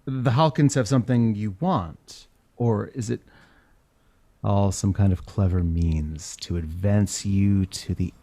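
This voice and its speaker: A man's voice: on the low side, slow, mellow. A man playing a starship captain's languid, teasing lover in a science fiction audio drama, speaking intimately. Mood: sly, coaxing.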